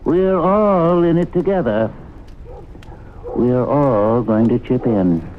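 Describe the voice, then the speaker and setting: An actor playing a shrewd, cynical, rich small-town shopkeeper, sly and folksy, in an old radio drama. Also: male voice, medium pitch, slow, natural voice. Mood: sly, confident. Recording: tinny, noisy old radio broadcast recording.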